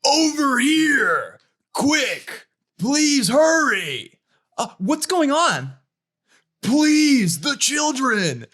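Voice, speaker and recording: Man acting out panicked male teacher, desperate shouts, melodramatic pleading, lively swooping pitch, sketch comedy. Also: drawn-out words, exaggerated voice. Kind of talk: comedy sketch table read.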